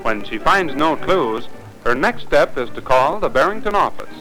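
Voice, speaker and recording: An American man reading formal, deliberate, instructive narration for a 1950s training film. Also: a little high, big pitch swings, bright, forceful tone, rough recording. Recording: 1950s film soundtrack, music behind.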